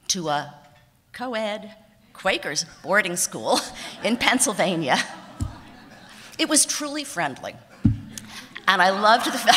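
A woman in her sixties giving an award acceptance speech from notes at a podium, warm and self-deprecating, with small laughs and restarts. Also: lowish voice. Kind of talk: prepared speech read from notes. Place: banquet hall stage at a podium. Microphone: podium microphone on a stand. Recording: reverberant banquet hall.

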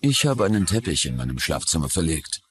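A man's voice: very deep voice, sing-song, fairly quick. A man dubbing an evasive, plain-spoken male suspect under police questioning in a documentary.

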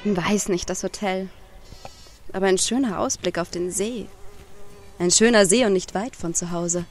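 A woman's voice: mid-range voice, unhurried, natural voice. A woman playing a young, self-absorbed, condescending well-to-do wife in a surreal radio play. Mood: wistful. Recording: music under the voice.

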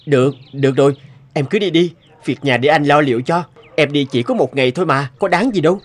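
A man voicing a devoted, anxious young village husband in an animated folktale, earnest and expressive. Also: on the high side, brisk, natural voice. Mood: accommodating. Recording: music behind the voice.

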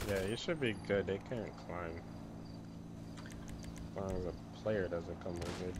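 A man's voice: low voice, a little slow. A man talking casually as a player in an online game, over noisy voice chat. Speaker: player in a group gaming session. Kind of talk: casual multiplayer game chatter.

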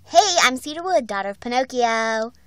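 A woman's voice: on the high side, lively intonation, moderate pace, clear, resonant tone, no room sound, lightly acted. A woman voicing a perky, girlish teenage doll character with emphatic delivery, like an amateur cartoon audition.

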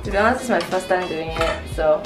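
A woman's voice: lowish voice, quick pace, recorded from a distance. A young woman chatting casually and playfully while cooking at home, laughing at her own mistakes. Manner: giggly, self-mocking, playful. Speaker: home cook vlogger. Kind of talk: casual cooking vlog chat. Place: small home kitchen, indoors. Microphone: camera across the room. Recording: roomy kitchen sound from off-mic with music.

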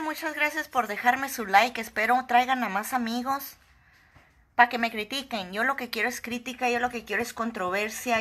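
Sarcastic northern Mexican woman ranting. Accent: northern Mexican Spanish. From Ciudad Juárez, Mexico. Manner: sarcastic, joking, swings between loud outbursts and low asides. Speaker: vlogger. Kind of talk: casual rant to camera. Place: indoor room with wooden shelves. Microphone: phone camera at arm's length.

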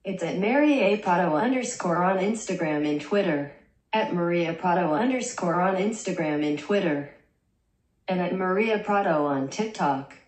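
A synthetic woman's voice reading a young vlogger's lines in a deadpan way, heard in a small bedroom through the camera mic. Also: lowish voice, normal speed, echoey room, rough recording. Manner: deadpan, dry jokes. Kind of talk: text-to-speech vlog narration.

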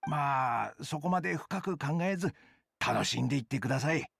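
A man's voice: fairly high pitch, lively intonation, normal speed, gravelly, a bit bright, a little hiss or hum. A man voicing a nervous, deferential bookshop editor in a Japanese game, very polite, flustered and hesitant. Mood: polite, yielding.

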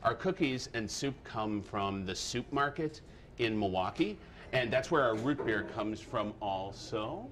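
A middle-aged man, a coffee shop owner, answering a live TV interview in a relaxed, friendly, slightly halting way. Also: fairly low pitch, slight background noise.

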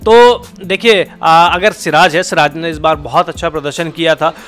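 Bright-voiced man explaining cricket, high-pitched. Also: fairly even intonation, moderate pace, soft background music. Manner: thinks aloud with many fillers and restarts, explaining at length. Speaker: cricket analyst. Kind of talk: studio panel discussion. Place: indoor TV studio set. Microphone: handheld mic.

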